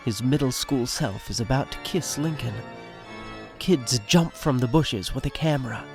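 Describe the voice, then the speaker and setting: A man's voice: medium pitch, moderate pace. A man reading scripted stage directions as the narrator of an audio drama. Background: music.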